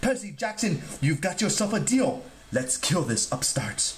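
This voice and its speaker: A man's voice: sits high, big pitch swings, a little slow, pitched above the performer's own voice. A man voicing a bold, wisecracking young demigod hero in a storybook reading. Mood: cocky. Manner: defiant, wisecracking.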